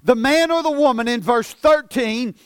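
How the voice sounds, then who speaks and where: A man of forty preaching a sermon as pastor, earnest, often repeating and stumbling over words, dropping soft for emphasis. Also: extremely high pitch, normal speed, clean tone, dry recording, clean studio sound. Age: 40s.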